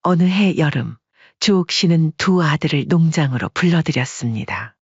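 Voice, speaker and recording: A woman reads a family drama in a calm, measured voice that is slightly husky. The recording is close and dry, with a somewhat dull, muffled tone. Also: deep voice, no echo. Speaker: audiobook narrator. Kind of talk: scripted story narration.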